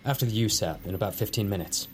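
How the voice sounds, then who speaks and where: Brief: actor playing a deadpan male official, low voice, music behind. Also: quick pace, in a large hall, lightly acted. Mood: dry. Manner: dry, matter-of-fact. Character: dry, weary male government official.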